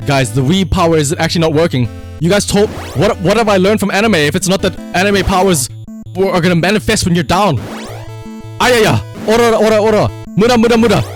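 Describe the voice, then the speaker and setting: A man's voice: sits high, fairly quick, soft background music. A man doing casual live game-stream commentary, swearing freely and repeating short command words.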